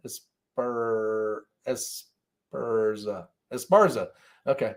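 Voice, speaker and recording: A man's voice: on the low side, fairly even intonation, extremely slow. A man hosting a casual live-stream chat, talking in an informal, loose and rambling way. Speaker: host of a shooting live chat show.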